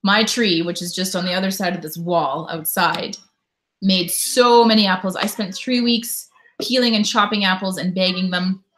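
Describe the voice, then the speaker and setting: A woman's voice: medium pitch, normal speed, a bit bright, slight background noise, poor audio. Chatty woman live streaming from home. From Canada. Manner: chatty, reads viewer comments aloud and answers them. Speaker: YouTube host. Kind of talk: casual live stream chat.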